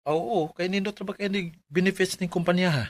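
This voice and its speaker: A man's voice: high voice, fairly even intonation, brisk. An actor playing a friendly, chummy male coworker who gives advice in a Filipino radio drama, with a Cebuano accent. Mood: advising. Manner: chummy, colloquial. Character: friendly, advising male office buddy.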